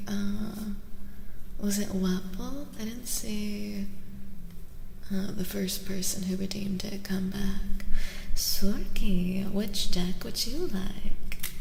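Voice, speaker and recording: A woman livestreamer chatting in a soft, gentle, affectionate ASMR style, often dropping into a whisper. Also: medium pitch, creaky. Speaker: livestreamer doing tarot readings. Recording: roomy reverberant sound with faint noise.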